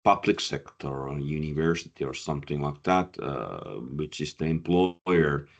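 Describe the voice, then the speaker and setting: A man's voice: a bass voice, normal speed, vocal fry. A man coaching job seekers, answering audience questions with many fillers and repeated words, a Finnish speaker talking in English. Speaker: career coach and presenter.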